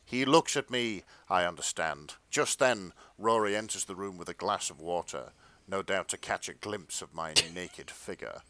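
A man's voice: low voice, moderate pace, bright, forceful tone, no echo. A British man hosting a podcast, reading fan fiction aloud in a mock-earnest comic tone. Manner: mock-earnest, breaks into laughter. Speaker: podcast host and narrator.

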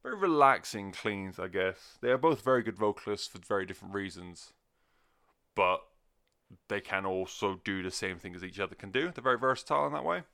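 A man's voice: lowish voice, animated, fairly quick, creaky, pushed and bright. A man talking casually and off the cuff as a music reviewer, rambling, sweary and self-deprecating. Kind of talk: casual unscripted review chat.

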